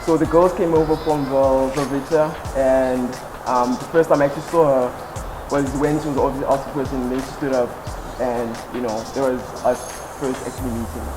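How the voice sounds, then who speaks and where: A man's voice: medium pitch, fairly even intonation, a little fast, hardly any pauses, low-quality recording. Hesitant young man. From South Africa (Eastern Cape). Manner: hesitant, many ums and "actually". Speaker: first-year law student, interviewee. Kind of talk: casual interview answers. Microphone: headset. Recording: background music under voice.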